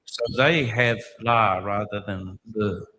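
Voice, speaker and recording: Author guy fielding questions at a book launch, bright sharp voice, jokey and chatty, handheld mic. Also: on the low side, slow, a little hiss or hum. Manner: wry and chatty, explains by examples. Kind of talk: relaxed, joking Q&A answers. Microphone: handheld microphone.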